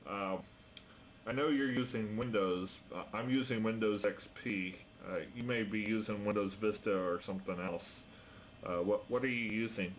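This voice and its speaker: Male radio operator, lowish voice, halting informal explainer, two-way radio sound with faint noise.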